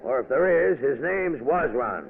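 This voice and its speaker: Loud-mouthed go-getter insurance man, gravelly, old radio play. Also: mid-range voice, animated, unhurried. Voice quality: gravelly, slangy, brash. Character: brash blustering male American insurance man. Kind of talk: 1940s radio acting. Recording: tinny old radio broadcast.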